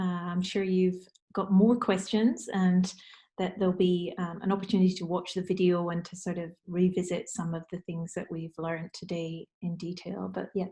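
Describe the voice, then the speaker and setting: A woman giving reflective, measured closing remarks as moderator of an online panel. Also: fairly low pitch, mellow.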